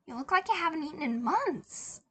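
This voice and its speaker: A woman's voice: sits high, sing-song, brisk, pitched above the performer's own voice. Woman doing an older lady in a story, folksy, blunt, kind of teasing, slight background noise. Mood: blunt concern.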